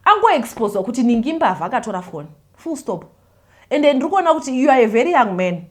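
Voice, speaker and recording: A Zimbabwean woman reading a listener's confession aloud and commenting on it, mixing Shona and English in an animated way. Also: fairly high pitch, fast talker.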